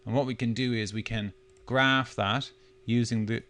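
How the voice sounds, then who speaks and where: A man with a mid-pitched voice narrates a screencast tutorial. He explains each step as he goes, speaking informally as a presenter would when showing software without a tight script. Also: steady tone, normal speed.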